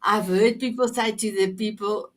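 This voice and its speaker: A woman's voice: mid-range voice, fairly even intonation, normal speed. Effusive woman. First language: Afrikaans. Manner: effusive, searches for words while translating. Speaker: talk show host.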